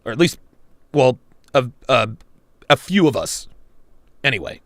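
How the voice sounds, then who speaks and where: A man's voice: medium pitch, sing-song, unhurried, fairly bright tone, dry recording, studio quality. Blunt, crude man talking to a live chat. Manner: blunt, crude, swears often; addresses live chat viewers. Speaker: podcast host reacting to a clip. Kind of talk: live-stream reaction commentary.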